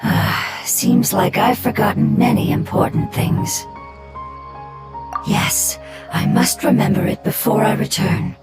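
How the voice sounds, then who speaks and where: A voice actor playing a gentle, homesick little forest spirit in a game cutscene, speaking softly with hushed pauses. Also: medium pitch, fairly even intonation, music in the background, lightly acted. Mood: wistful.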